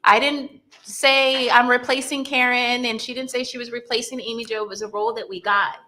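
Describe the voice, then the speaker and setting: A woman's voice: on the high side, steady tone, fairly quick, sharp tone, a little hiss or hum, low-quality recording. A woman speaking casually on a convention panel, explaining things earnestly and at length. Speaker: actor guest at a fan convention panel.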